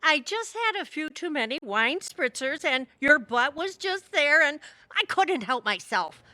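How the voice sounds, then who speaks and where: A woman acts a suburban mom and loyal friend in an audio comedy. The voice is high-ish and bright, with very animated pitch. She comes across as defensive, sorry and earnest. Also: unhurried, dry recording, full character voice. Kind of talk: audio theatre comedy acting.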